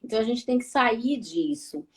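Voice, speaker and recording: Brief: gaúcha woman therapist hosting a live stream, fairly high voice, lively, addresses the audience directly. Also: normal speed. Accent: southern Brazilian gaúcho. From Rio Grande do Sul, Brazil. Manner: lively, addresses viewers directly, frequent tag questions. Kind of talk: conversational live talk.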